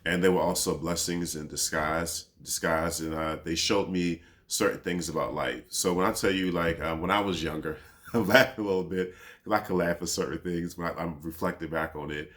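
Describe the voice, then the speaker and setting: A man in his thirties with a very low, deep voice speaks in a personal, reflective monologue. His delivery is laid-back and rambling, peppered with easy fillers as he thinks aloud. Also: steady tone, normal speed, a bit bright. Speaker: podcast host.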